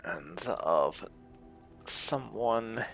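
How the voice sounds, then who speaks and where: Man, casual gaming commentary, musing, slow pace, very wide swinging intonation, creaky. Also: medium pitch, big pitch swings, fairly bright tone, faint music behind. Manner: musing to himself. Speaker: gamer hosting a playthrough.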